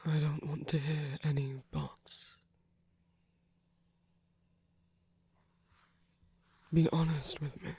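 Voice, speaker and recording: Man playing a husky, tender villain lover, half-whispered. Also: fairly high pitch, flat delivery, dry recording. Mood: softly commanding. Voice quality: soft, breathy, often dropping to a whisper. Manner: slow, gentle, measured, with deliberate pauses. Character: tender, commanding male villain comforting his lover. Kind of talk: romantic ASMR roleplay audio.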